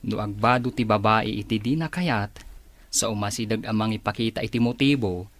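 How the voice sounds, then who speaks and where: A man's voice: on the low side, fairly quick, close to an everyday voice. A man playing a gossipy office coworker in a radio drama, with an Ilocano accent. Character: gossipy office coworker speculating about the boss.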